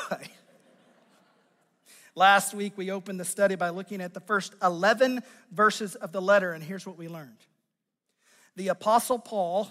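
An American man preaching a conversational teaching sermon as a pastor, with a raspy, strained voice and deliberate, emphatic phrasing. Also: high-pitched, bright, forceful tone. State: strained voice after losing it. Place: large church sanctuary. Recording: long hall reverb from sound system.

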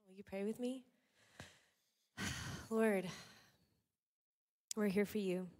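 A woman leading a public prayer as a worship leader, unhurried and reverent. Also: mid-range voice, fairly even intonation, a little mellow. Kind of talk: earnest spoken public prayer. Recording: voice over prominent background music.

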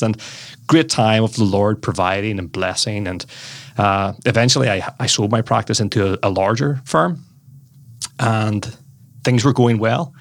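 A man's voice: mid-range voice, a bit bright, no room sound, studio quality. A man in his forties from Northern Ireland with a Belfast accent, telling his life story as a podcast guest in a chatty way, often addressing the host by name. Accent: Northern Irish, Belfast. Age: 40s. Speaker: guest, former lawyer.

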